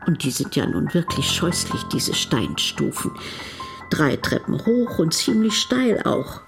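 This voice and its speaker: A woman's voice: low-pitched, wildly swooping pitch, a little slow, music in the background, heavily acted. An actress playing an elderly, caring wife who tells her story in a plain, conversational radio drama monologue. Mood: worried. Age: older. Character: elderly devoted wife, caring, worried, quietly amused.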